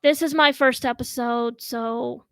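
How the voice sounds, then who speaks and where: High-pitched woman in her 30s, from russia, talks real slow and halting, dry close sound. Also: steady tone, clear, resonant tone, no echo. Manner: slow, halting, with many pauses and fillers. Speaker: host. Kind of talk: casual chat. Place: at home.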